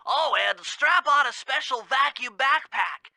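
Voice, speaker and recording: A man's voice: very high voice, lively intonation, measured pace, sharp tone, no echo, full character voice. A man voicing a brainy, anxious animal gadget expert in a cartoon video game, nasal and precise in formal technical phrasing. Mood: matter-of-fact, precise.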